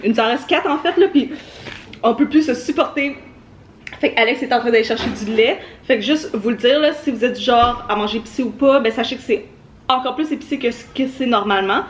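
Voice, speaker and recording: A woman's voice: fairly high pitch, slight background noise. Upbeat Québécois woman vlogging. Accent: Québécois French. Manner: chatty and upbeat, addresses viewers directly. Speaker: travel vlogger. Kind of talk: casual vlog narration.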